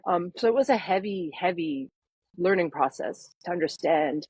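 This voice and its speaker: A woman in her fifties who grew up in Canada tells her story in an interview. Her voice is slightly low and breathy, soft and gentle. She talks earnestly and reflectively, often pausing on fillers. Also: lively intonation, no echo, rough recording. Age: 50s. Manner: earnest, reflective, many ums. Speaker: guest, parenting coach. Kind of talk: podcast interview, personal story.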